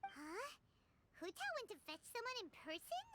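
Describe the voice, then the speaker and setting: Squeaky, excitable little fairy. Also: female voice, moderate pace, noisy background, exaggerated voice. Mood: excited curiosity. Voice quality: squeaky, childlike. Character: tiny, excitable, chatty female fairy companion. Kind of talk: animated game voice acting.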